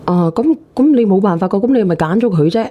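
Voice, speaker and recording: A woman's voice: medium pitch, expressive, fast, dull audio, slight background noise. A woman with a Cantonese accent hosting a phone-in, bluntly prodding a caller with casual questions. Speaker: phone-in show host.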